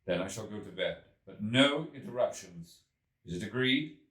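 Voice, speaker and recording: Man playing a weary, emphatic Victorian gentleman inventor, animated. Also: fairly low pitch, normal speed. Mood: weary, insistent. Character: worn-out, hungry Victorian gentleman inventor, insistent and earnest. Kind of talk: audiobook character voice. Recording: roomy echo, distant from mic.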